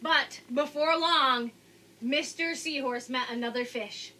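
Casting: woman in her thirties, expressive children's storybook reader, bright high voice. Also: slow pace, low-quality recording. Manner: expressive, addressing young children. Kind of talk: children's picture-book read-aloud. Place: indoors, small room with curtain backdrop. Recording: faint background noise.

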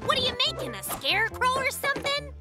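A performer voicing a cocky, excitable young male bear cub in a children's cartoon, boastful and theatrical in manner. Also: extremely high pitch, fast talker. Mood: eager. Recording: music bed behind the voice.

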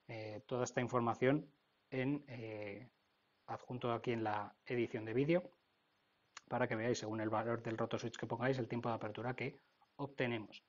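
A man's voice: mid-range voice, fairly even intonation, moderate pace, dull audio. A man with an accent from Spain narrating an informal technical tutorial, explaining step by step and often checking in. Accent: Spain Spanish. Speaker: technical instructor.

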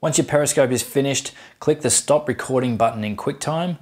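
A man in his thirties narrating a scripted how-to tutorial, giving clear step-by-step instructions as the host. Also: medium pitch, fairly quick.

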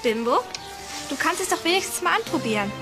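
A woman's voice: extremely high pitch, animated, fairly quick, over music. An actress playing a spoiled, bossy young female film star, whiny and haughty, in a German children's drama. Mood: petulant.

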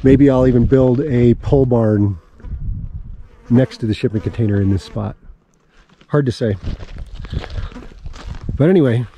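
A man's voice: medium pitch, a little slow, pauses often, mellow, highs cut off, slight background noise. A man in his forties giving a casual walk-around vlog outdoors on open dry land, explaining his plans as he points things out. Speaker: homesteading vlogger.

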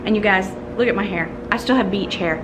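A middle-aged woman vlogging casually to camera in a vacation condo, chatty and relaxed, laughing softly between sentences. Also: mid-range voice, fast talker. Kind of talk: casual vlog talk to camera. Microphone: phone held at arm's length. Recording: noisy phone audio.

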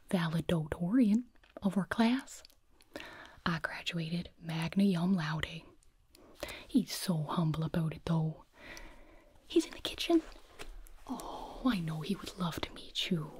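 A woman's voice: lowish voice, breathy edge, heavily acted. Woman whispering as Holly, a doting elf mother. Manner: soft whisper, fussing and gushing. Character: doting chatty elf mother, fussy and sweet. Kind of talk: whispered ASMR roleplay.

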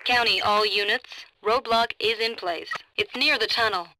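A woman playing a brisk, composed police dispatcher in a video game, speaking in clipped procedural phrases over the radio. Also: mid-range voice. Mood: businesslike. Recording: noisy police radio, clicks.

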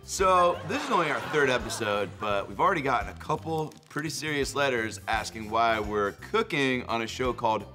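An actor playing a spaced-out, forgetful, giggly young stoner who hosts a cooking show in a TV comedy sketch. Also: male voice, medium pitch, expressive, music in the background, close to an everyday voice. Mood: upbeat, scatterbrained. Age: young adult. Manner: slow-witted, rambling, forgetful, giggly. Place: TV studio kitchen set.

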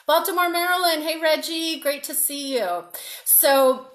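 A woman's voice: high voice, a little slow, a bit bright. Upbeat woman coach on a live stream. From Massachusetts, North Shore of Boston. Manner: upbeat, greets viewers by name, restarts words. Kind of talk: live-stream workshop talk. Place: room at home, indoors.

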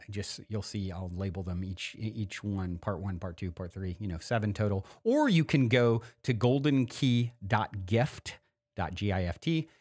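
A man from the US East Coast chatting informally and encouragingly as a podcast host introducing a recording. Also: fairly low pitch. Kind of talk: casual podcast intro chat.

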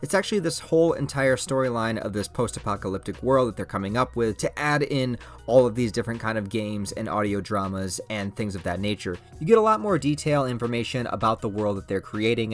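A man's voice: lowish voice, lively intonation, fast talker, few pauses. Man presenting over background music. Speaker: YouTube host presenting a project. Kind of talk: scripted promotional narration.

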